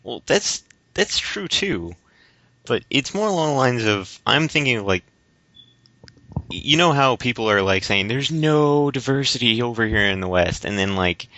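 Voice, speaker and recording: A man co-hosting a casual debate podcast, speaking in a halting, stammering way. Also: medium pitch, lively intonation, bright, forceful tone. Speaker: podcast co-host. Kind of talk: casual debate-style chat. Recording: slightly dull, lo-fi audio.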